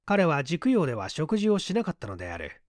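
A man's voice: a little high, huge pitch swings, a little fast, no echo, clean studio sound. A man narrating a literary mystery story as a dramatised audiobook reading. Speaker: audiobook reader, small-theatre stage actor.

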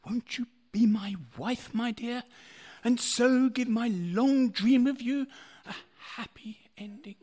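A woman playing an amiable, sentimental old gentleman in a radio play, husky and halting. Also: a little high. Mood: wistful, tender. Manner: halting, hesitant, wistful.

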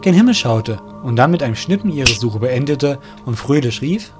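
A man's voice: mid-range voice, very animated, normal speed, over music, close to an everyday voice. A man reading scripted narration as the storyteller of an audio drama.

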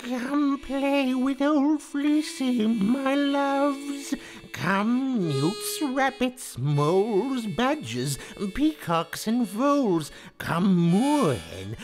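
A storyteller voicing a lonely, theatrical female cat monster who croons slowly and hurls grand insults. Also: mid-range voice, pitched above the performer's own voice, full character voice. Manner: slow, drawn-out crooning, haughty and insulting. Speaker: storybook figure.

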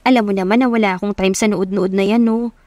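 A woman's voice: medium pitch, fairly even intonation, fast, mellow, no room sound, close to an everyday voice. A woman with a Filipino accent voicing a young working woman in an audio romance, easily flustered, defensive and sassy. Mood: sassy, dismissive.

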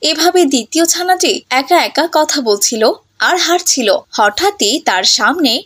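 A woman with a high-pitched voice reads as the narrator of a fairy tale. Her delivery is scripted storytelling for an animated children's story. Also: moderate pace.

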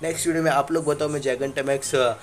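A man's voice: fairly high pitch, fairly even intonation, fairly quick. A young man casually commentating a handheld game, rambling with frequent fillers and English words mixed in, recorded on a phone. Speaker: gaming channel host. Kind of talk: casual Let's Play commentary.

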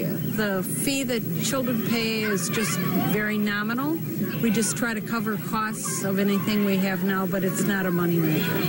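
A woman's voice: fairly high pitch, heavy background noise. A middle-aged woman who organizes school tours, answering interview questions plainly outdoors on a farm lawn. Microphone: handheld mic held by reporter.

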